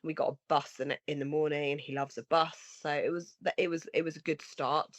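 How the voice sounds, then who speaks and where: A woman in her thirties with a cold, sounding slightly nasal and husky, chatting earnestly as a podcast co-host. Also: deep voice, fairly even intonation, brisk, no room sound. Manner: earnest, rambling with restarts. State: has a cold, sniffly. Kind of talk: casual conversation. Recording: dry, slightly dull lo-fi sound.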